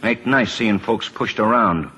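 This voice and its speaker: A man's voice: fairly low pitch, unhurried, sharp tone, slight background noise, low-quality recording. An actor playing a wry, folksy, fatherly government lawman in an old-time radio drama. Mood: calm, firm. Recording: old radio broadcast recording.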